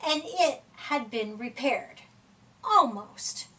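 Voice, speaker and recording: Female storybook reader, high pitch, slow and deliberate with dramatic emphasis, recorded at a distance from the mic. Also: animated, background noise. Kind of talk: expressive read-aloud of a children's picture book.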